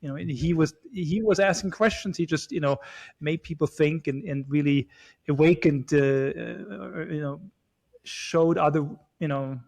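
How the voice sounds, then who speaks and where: A man in his forties from Switzerland, chatting as a guest, talking haltingly with many restarts and fillers. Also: fairly high pitch, vocal fry, a little mellow. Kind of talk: casual chat.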